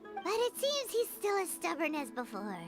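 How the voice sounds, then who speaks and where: A woman voicing a tiny, cheeky, childlike fairy companion in a video game, perky and squeaky. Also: wildly swooping pitch, moderate pace, over music, full character voice. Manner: perky, speaks of self in third person. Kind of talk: scripted video game voice acting.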